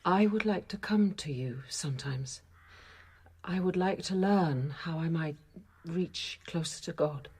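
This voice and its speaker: An actress playing a discreet, thoughtful convent apothecary nun in a radio drama, quiet and earnest in both narration and dialogue. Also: female voice, fairly low pitch, measured pace, slightly rough. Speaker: narrator and lead character.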